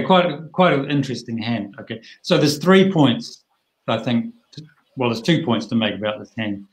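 A middle-aged man explains a card hand over a video call. He talks in a chatty, plain-spoken way, tossing in lively asides. His voice sits at an ordinary pitch. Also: low-quality recording. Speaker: bridge teacher.

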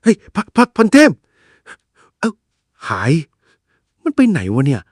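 A man's voice: high-pitched, wildly swooping pitch, unhurried, background noise, full character voice. Cartoon casting: a man doing a skittish, whiny ghost hunter, raised voice that swings wildly. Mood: scared. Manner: cowardly, loud, complaining. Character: jumpy, greedy elder ghost-hunting cameraman. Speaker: cartoon voice actor.

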